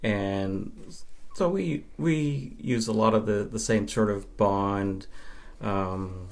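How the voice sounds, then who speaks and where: A man's voice: fairly low pitch, takes it slowly, gravelly, darkish tone. A man from Nova Scotia, Canada, chatting casually as an interview guest and film fan.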